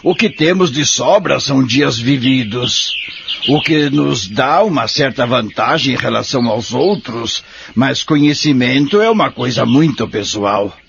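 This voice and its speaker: A Brazilian man playing a wise, patient old man who gently admonishes his friend in an audio drama. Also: mid-range voice, lively intonation, measured pace, gravelly. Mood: philosophical. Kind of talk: audio drama dialogue, measured moralizing. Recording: muffled recording with faint noise.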